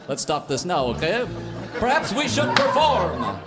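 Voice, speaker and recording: A man's voice: high voice, wildly swooping pitch, measured pace, over a noisy crowd, heavily acted. A man playing an effusive, silly master of ceremonies for a Hawaiian band in a comic radio theater show. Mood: bantering. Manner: showy, bantering stage announcer. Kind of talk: comic radio theater acting before an audience. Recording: live stage show with music and audience.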